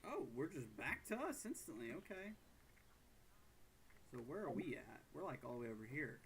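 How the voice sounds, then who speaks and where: A woman's voice: a bass voice, very animated, normal speed. A woman game streamer chatting casually while playing. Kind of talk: casual livestream chat over gameplay.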